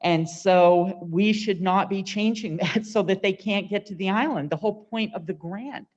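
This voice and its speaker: A woman making a loud, firm public comment as a resident at a town meeting. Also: mid-range voice, normal speed, dull audio. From Maine, USA.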